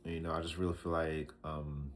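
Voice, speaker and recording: A man in his early thirties with a very deep voice talks straight to a camera. He speaks slowly and reflectively, with many fillers and restarts. A faint hiss of noise sits under the recording. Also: flat delivery. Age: 30s. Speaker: vlogger. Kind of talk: casual talking-to-camera advice.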